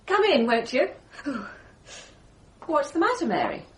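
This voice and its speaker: A woman's voice: high voice, huge pitch swings, measured pace, heavily acted. An actress playing a genteel young English lady in a radio drama, politely clipped and brittle, hiding inner turmoil. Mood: brittle politeness. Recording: radio drama with faint background noise.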